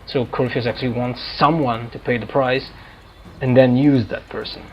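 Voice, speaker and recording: A man giving casual game commentary, thinking aloud in a halting, hesitant way. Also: mid-range voice, fairly even intonation, dull audio, a little hiss or hum. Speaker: gameplay streamer. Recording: game music behind. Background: video game music.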